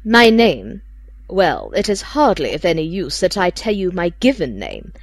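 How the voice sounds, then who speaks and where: A woman voicing a secretive, haughty lady who deliberately dictates a gothic confession in an audiobook. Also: fairly low pitch, very animated, no room sound, heavily acted. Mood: brooding, imperious. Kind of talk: dramatic audiobook monologue.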